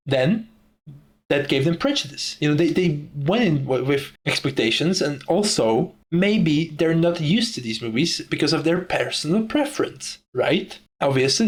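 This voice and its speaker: A young man from the Czech Republic with a Czech accent, speaking English as a second language in a profane, ranting casual monologue. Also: on the high side. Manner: profane, ranting, laughs often. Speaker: YouTuber.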